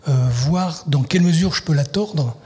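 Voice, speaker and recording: A man with a slightly husky voice answering radio interview questions at a festival. He speaks in a thoughtful, hesitant way, restarting his sentences as he searches for words. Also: a little high, normal speed, mellow. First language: French. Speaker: literary translator, guest. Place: festival venue, live broadcast.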